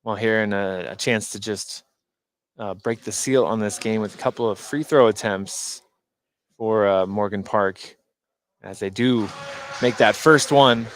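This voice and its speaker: A man working as color commentator on a live basketball broadcast, analysing in a conversational, somewhat halting way as he thinks aloud. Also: lowish voice.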